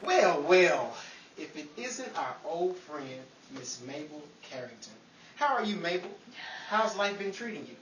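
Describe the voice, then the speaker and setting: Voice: mid-range voice, sing-song, unhurried, a bit bright, a faint crowd. A host with a folksy, patient announcer's manner, presenting in old-time radio game-show style. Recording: roomy, distant, faint noise.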